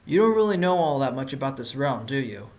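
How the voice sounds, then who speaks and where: A man's voice: mid-range voice, sing-song, a little fast, natural voice. A man playing a scrappy, determined fox defense attorney in a courtroom audio drama, making his points in a pointed, argumentative way. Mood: confident, pressing. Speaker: voice actor in fan audio drama. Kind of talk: courtroom drama acting with inner monologue. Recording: music clearly under the voice.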